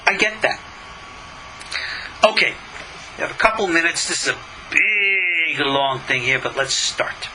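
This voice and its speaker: A man's voice: fairly high pitch, lively intonation, unhurried, long pauses, bright, forceful tone, slight background noise, low-quality recording. A middle-aged or older man, a rabbi giving a religious lesson, reading a text and commenting on it in an emphatic, skeptical, questioning way.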